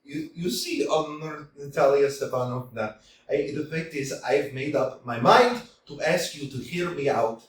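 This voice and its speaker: A man reading a jittery, stammering young gentleman who clings to formal phrases, his voice fairly high and lively. He is heard from a distance in a very echoey small theater. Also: a little slow. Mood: nervous. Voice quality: stammering, hesitant, fussy formality. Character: nervous, stammering young gentleman suitor, pedantic. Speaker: community theater actor. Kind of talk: staged reading of a stage comedy. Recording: echoey room, from far off, faint noise.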